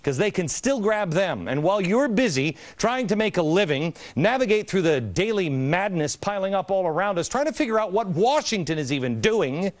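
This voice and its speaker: A man in his forties delivers opinion commentary on a TV set, indignant and sarcastic. His pitch swings widely and sits fairly high for a man. He talks like he is putting on a show. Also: sing-song, moderate pace, few pauses. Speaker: TV host. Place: large TV studio set. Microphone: studio mic, standing at camera.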